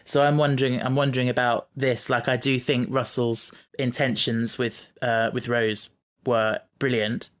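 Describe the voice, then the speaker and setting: A man's voice: mid-range voice, flat delivery, normal speed, hardly any pauses, a bit bright. A man hosting a casual fan podcast, talking enthusiastically and tentatively, often checking in with little tag questions. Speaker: podcast host.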